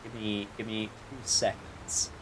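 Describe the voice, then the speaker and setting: A man's voice: lowish voice, little pitch movement, a little slow, a bit bright, rough recording. Wry male game streamer, faint background noise. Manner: wry, sweary, joking. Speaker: game livestreamer. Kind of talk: casual livestream chat and game commentary.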